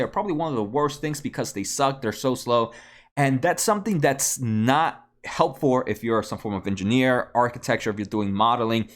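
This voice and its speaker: Casual man explaining figures, repeating himself. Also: medium pitch. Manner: repeats words, often tags phrases with "right?". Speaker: host of a stock analysis video channel. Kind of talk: casual explanatory commentary on company earnings.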